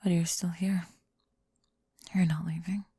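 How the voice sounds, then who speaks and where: Breathy, remorseful young woman, acted by a woman. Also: on the low side, level tone, warm tone, no echo, clean studio sound, heavily acted. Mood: vulnerable, hushed. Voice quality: breathy, often whispered. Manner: halting, tender, confessional. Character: remorseful, vulnerable young woman confessing to someone she rejected. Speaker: ASMR roleplay voice actor. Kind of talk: intimate emotional ASMR roleplay. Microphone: close, dry microphone.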